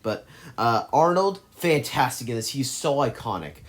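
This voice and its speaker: A man's voice: medium pitch, lively intonation, moderate pace. A man giving casual, effusive commentary on his favorite movies, rambling and correcting himself as he goes. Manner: gushing, effusive praise. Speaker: movie list vlogger.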